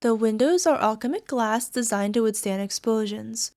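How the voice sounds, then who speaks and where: Coy, high-voiced yandere alchemist girl played by a woman. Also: normal speed, a little mellow, no echo, studio quality, close to an everyday voice. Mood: smug, calm. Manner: coy, coaxing, giggly, tag questions. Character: lovesick possessive young woman alchemist, sweetly menacing. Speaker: voice actor. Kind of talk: ASMR girlfriend roleplay.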